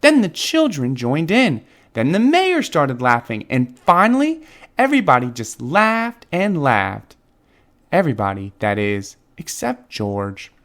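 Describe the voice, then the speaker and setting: A man's voice: fairly high pitch, wildly swooping pitch, unhurried, fairly bright tone. A man reading a children's storybook expressively, with dramatic emphasis on key words. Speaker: children's book reader. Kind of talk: expressive scripted storybook narration.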